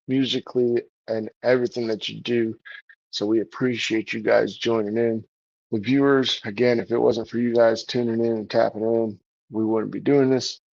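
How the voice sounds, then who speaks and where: A man's voice: mid-range voice, vocal fry, darkish tone, no echo, muffled recording. A man hosting a music livestream in a casual, rambling way, with many fillers and restarts. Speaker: livestream show host.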